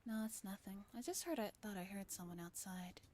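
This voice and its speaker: A woman's voice: mid-range voice, a little fast, a little mellow, slight background noise, close to an everyday voice. A woman voicing a flustered teenage boy from a visual novel, blunt and easily exasperated.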